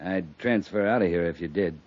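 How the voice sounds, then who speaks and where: A man's voice: deep voice, fairly quick, darkish tone, natural voice. An American man playing a weary, dutiful cavalry captain, respectful but blunt, in a 1950s radio drama. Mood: dry, respectful. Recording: muffled, noisy 1950s radio recording.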